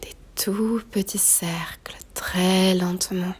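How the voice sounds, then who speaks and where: A woman guiding a slow meditation in a soft, breathy near-whisper, gently giving unhurried instructions with long pauses. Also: on the low side, steady tone. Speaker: yoga nidra guide.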